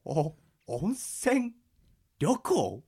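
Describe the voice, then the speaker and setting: A man playing a hot-blooded, weepy and devoted gangster underling in an amateur radio drama, excitable and stammering. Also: on the high side, extremely slow, slightly rough, exaggerated voice. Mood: bewildered.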